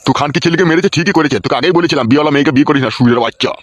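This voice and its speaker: A man voicing a grumpy, foul-mouthed older father-in-law in a comedy cartoon, scolding gruffly in a rural West Bengal accent. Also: a little high, racing, no room sound, lightly acted. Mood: irritated.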